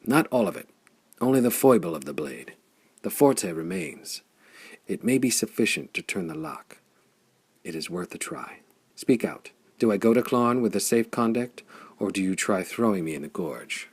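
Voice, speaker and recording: Stubborn hero who never quits, as a male narrator reads him, husky and low, firm delivery. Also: animated, moderate pace. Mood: determined. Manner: firm, determined speech. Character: Flame, grim stubborn male sword hero.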